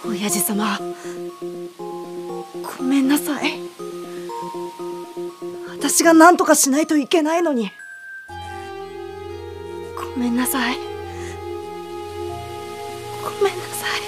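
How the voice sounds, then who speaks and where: A woman's voice: sits high, animated, takes it slowly, long pauses, over loud music. A woman playing an earnest, dutiful young girl who blames herself, in an audio drama. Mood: despairing. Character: young girl, dutiful, anxious, self-blaming lantern bearer. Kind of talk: Japanese audio drama acting.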